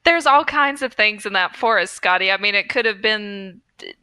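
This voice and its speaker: A woman's voice: medium pitch, expressive, normal speed, pushed and bright, clean tone, no echo. A woman co-hosting a podcast in a casual, chatty style. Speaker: podcast co-host.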